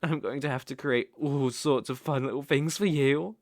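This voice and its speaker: A man's voice: mid-range voice, normal speed, no room sound, studio quality, close to an everyday voice. A British man playing a cruel, doting sorcerer in an ASMR roleplay, cooing baby talk with smug menace. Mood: doting, menacing. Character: gloating, doting, cruel male sorcerer captor.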